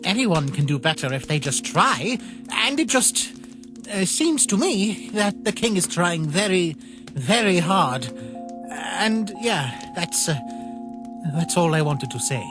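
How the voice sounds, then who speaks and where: A man voicing a naive, caring younger-brother skeleton in a comic dub, speaking earnestly and haltingly in a soft plea. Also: sits high, lively intonation. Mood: gently pleading. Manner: earnest, halting, softly pleading. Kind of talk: dramatic comic dub acting. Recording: voice over background music.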